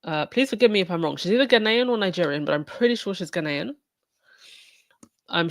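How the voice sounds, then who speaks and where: A woman's voice: fairly low pitch, no room sound, studio quality. A woman from England hosting a casual podcast, sounding sniffly from a cold and chatting loosely with many fillers and restarts. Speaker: podcast host. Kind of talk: casual commentary on a livestreamed podcast.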